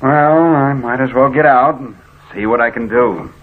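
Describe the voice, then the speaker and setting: A man's voice: medium pitch, moderate pace, natural voice. An actor playing an irritable, bossy man in a curt, exasperated manner, in 1940s radio drama style. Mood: irritated, curt. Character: irritable, bossy man stranded with his car. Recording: tinny, hissy old radio recording.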